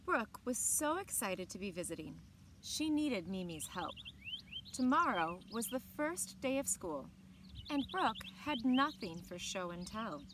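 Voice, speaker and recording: A woman in her thirties reading aloud to children in an expressive storytelling style, with short asides. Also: a little high, measured pace, noisy background. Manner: expressive, clearly paced reading to young listeners. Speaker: library story time reader. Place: outdoors on a lawn beside evergreen trees. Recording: outdoor ambience with birdsong. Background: birds and outdoor ambience.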